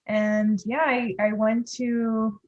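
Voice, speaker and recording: A woman's voice: on the high side, little pitch movement, takes it slowly, a little mellow. A woman from Los Angeles with a Californian accent, answering as a podcast guest in casual conversation, on slightly dull lo-fi audio. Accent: Californian American. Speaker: podcast guest, pediatric dentist.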